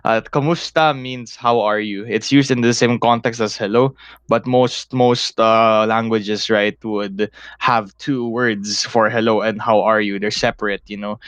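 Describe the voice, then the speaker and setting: A man's voice: fairly low pitch, steady tone, unhurried, a bit bright, clear, resonant tone, dry recording. A young man with a Filipino accent, co-hosting a casual podcast and talking at length in a rambling, analytical way, swearing freely. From Philippines. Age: young adult. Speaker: podcast co-host.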